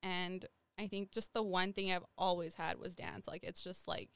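A woman's voice: on the low side, steady tone, normal speed, a bit bright, clear, resonant tone, no room sound. A young woman from Nepal, a guest in a casual interview, rambling and full of "like" fillers.

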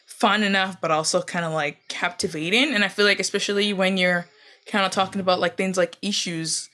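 A woman co-hosting a podcast who rambles and hesitates, leaning on "like" in almost every phrase. Her voice comes across bright and edgy. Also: medium pitch, steady tone, a little fast. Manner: rambling, heavy use of "like", hesitant. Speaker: podcast co-host. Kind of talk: casual chat.

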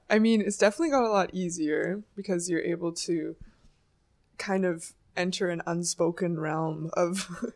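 A young woman musician with a low voice, rambling and laughing a lot as she talks about writing songs. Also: darkish tone. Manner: giggly, rambling, many "like" fillers. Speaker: singer and songwriter. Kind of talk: casual interview chat.

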